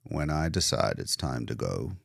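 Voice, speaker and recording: A man's voice: very deep voice, very animated, moderate pace, creaky, dry recording, clean studio sound, voice pushed down, heavily acted. A man reading a story, voicing a sardonic old painter who is gloomy and scornful. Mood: defiant.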